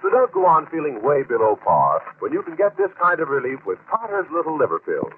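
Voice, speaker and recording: A man's voice: fairly high pitch, huge pitch swings, fairly quick, a little hiss or hum. A man delivering emphatic, polished 1940s radio announcements and advertising, on a muffled old recording.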